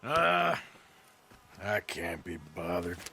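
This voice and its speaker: An actor playing a laconic, drawling, reluctant American outlaw cowboy in a video game cutscene. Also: male voice, deep voice, big pitch swings, takes it slowly, vocal fry, bright, forceful tone, slight background noise, heavily acted. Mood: wry, reluctant. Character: gruff, wry outlaw cowboy running errands.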